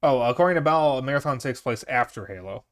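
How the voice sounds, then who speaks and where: A man's voice: mid-range voice, animated, fast talker, fairly bright tone, soft background music. A man with a Chicago accent chatting while gaming, explaining game lore in detail. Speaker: streamer. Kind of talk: casual gaming chat.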